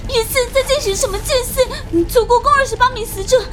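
Extremely high-pitched, panicky rookie officer. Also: female voice, fast talker. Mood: frightened, shaken. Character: panicky, squeaky junior police officer reporting. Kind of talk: Chinese audio drama acting.

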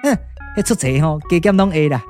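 A man's voice: a little high, animated, brisk, darkish tone, soft background music, natural voice. A man with a Taiwanese accent playing a boastful, bumbling shape-shifting younger brother, chatty and full of exclamations, in a puppet-theatre radio drama. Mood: flustered.

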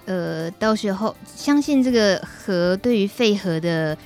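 A woman's voice: medium pitch, animated, unhurried, darkish tone, faint music behind. Taiwanese woman hosting a radio chat. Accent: Taiwanese Mandarin. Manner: guides the talk, checking in with co-host. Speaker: radio talk show host. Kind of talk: conversational commentary.

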